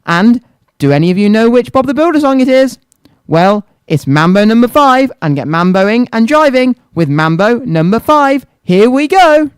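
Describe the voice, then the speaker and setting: A man's voice: very high voice, sing-song, moderate pace, smooth, no echo. A man presenting a children's radio show, speaking directly to young listeners with repeated phrases and questions. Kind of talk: scripted-sounding live radio presenting to children.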